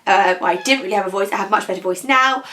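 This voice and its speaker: A young woman with a slightly croaky voice, chatting casually through a beauty product review and rambling with frequent ums. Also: mid-range voice, racing, sharp tone, slight background noise. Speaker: beauty vlogger. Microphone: camera.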